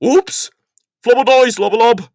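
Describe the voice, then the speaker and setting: A man voicing Bill, a small, cheery, excitable childlike character who babbles in broken exclamations for a children's story. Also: very high-pitched, unhurried, no echo, clean studio sound, pitched above the performer's own voice. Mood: cheerful.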